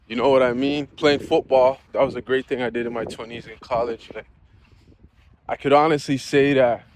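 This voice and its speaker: A man's voice: mid-range voice, a little mellow. A man in his thirties talking earnestly and casually about life goals, often asking "you know?" as he reflects. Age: 30s. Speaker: vlogger. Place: outdoors near geese.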